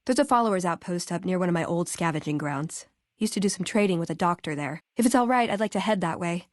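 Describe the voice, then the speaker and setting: A woman's voice: on the low side, animated, fast talker, no room sound, clean studio sound, close to an everyday voice. An American woman playing a wry, earnest young scribe in a video game, speaking in a conversational way. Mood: casual, friendly. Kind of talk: video game character dialogue.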